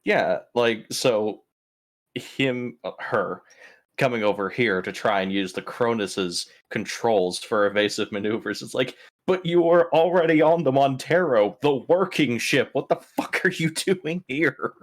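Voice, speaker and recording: A man's voice: mid-range voice, animated, measured pace. A man playing a tabletop roleplaying game, chatting casually and reasoning through the situation with some swearing.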